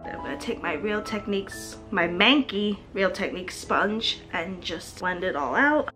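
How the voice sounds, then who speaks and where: An American woman in her twenties talks like a beauty vlogger chatting to her followers. Her delivery is informal and self-deprecating, at an ordinary mid-range female pitch. Music plays behind her as she speaks in a bedroom. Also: animated, moderate pace, bright, forceful tone. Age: 20s. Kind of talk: casual chatty makeup tutorial. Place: bedroom, indoors.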